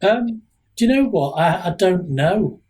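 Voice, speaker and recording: A man with a husky voice in casual podcast chat as a guest author, rambling and self-deprecating, often halting and repeating himself. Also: high-pitched, lively intonation, a little mellow.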